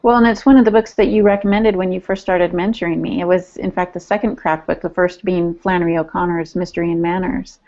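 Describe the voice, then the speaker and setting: A woman with a lowish voice and flat, steady intonation speaks as a podcast co-host in casual discussion. The audio is slightly muffled. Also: fast, few pauses.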